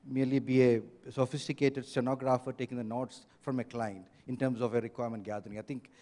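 A man's voice: medium pitch, level tone, fast talker, warm tone. Man giving firm advice on a panel. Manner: advisory, with restarts and firm imperatives. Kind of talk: conference panel discussion. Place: large hotel conference hall. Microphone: handheld mic.

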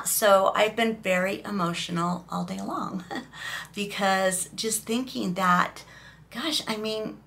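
50s lady vlogging at home, hoarse gravelly voice, slow chatty rambling. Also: medium pitch, sharp tone. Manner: chatty, emotional, rambling with fillers. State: hoarse from a cough. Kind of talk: casual talking-head vlog. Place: small indoor room.

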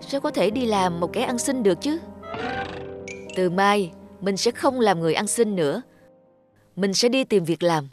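A woman plays a humble former beggar man in a fairy-tale cartoon. The delivery is earnest, measured and polite, full of gratitude. Music plays clearly behind the voice. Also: medium pitch, lively intonation, lightly acted. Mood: reflective.

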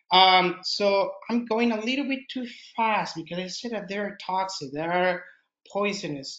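A man's voice: high-pitched, fairly bright tone. A man from Mexico with a Mexican accent, presenting a nature webinar over a video call in slightly broken English with frequent fillers. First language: Spanish. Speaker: expedition leader presenting a webinar. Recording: roomy, dull video call audio.

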